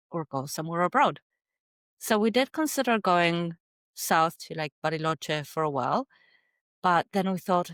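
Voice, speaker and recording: A woman in her thirties speaks with a slightly low voice, unhurried and reflective. She answers as an interview guest and is recorded close and dry, with no room sound. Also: a bit bright, dry recording. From Argentina. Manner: measured and thoughtful, with some fillers and light laughs. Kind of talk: casual interview storytelling.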